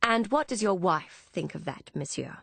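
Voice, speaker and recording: A woman's voice: fairly low pitch, lively intonation, moderate pace, gravelly, fairly bright tone, breathy edge, no echo, heavily acted. A woman voicing a stern, protective elder sister in an audiobook, with a grim, commanding manner. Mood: indignant, firm.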